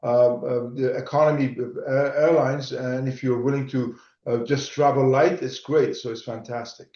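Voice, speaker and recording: A man's voice: medium pitch, fairly even intonation, moderate pace, a little mellow. A man co-hosting a podcast, chatting in an enthusiastic, rambling way with fillers. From Iran. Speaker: podcast co-host. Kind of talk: casual conversation. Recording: distant, roomy, dull sound.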